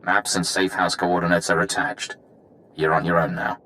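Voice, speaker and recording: A brisk intelligence operative in a video game. His low, forceful voice gives a grave, formal briefing over faint background noise. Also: flat delivery, poor audio. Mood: businesslike.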